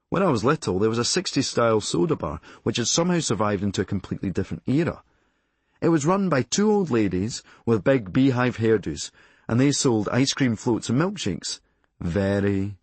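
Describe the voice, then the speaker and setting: A man with a Glasgow Scottish accent reading a scripted memoir, with a deadpan, darkly humorous delivery. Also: mid-range voice, slightly rough, no room sound. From Glasgow, Scotland. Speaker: audiobook narrator and author.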